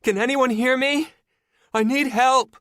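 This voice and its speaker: A man's voice: very high voice, steady tone, measured pace, sharp tone, dry recording, studio quality, pitched above the performer's own voice, exaggerated voice. A man reading an audiobook voices a frightened woman trapped in an elevator, calling out desperately for help. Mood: panicked.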